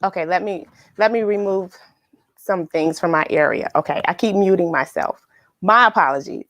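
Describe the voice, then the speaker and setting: A woman hosting a live read-along for children, chatting with viewers in an upbeat, excited manner. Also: on the low side, moderate pace, no echo.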